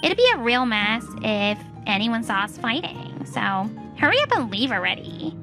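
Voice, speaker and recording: A woman's voice: a little high, very animated, moderate pace, over music. A woman playing a haughty, condescending, sneering rich in-law in a manga dub. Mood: dismissive. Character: haughty, mocking rich female in-law, cruel snob.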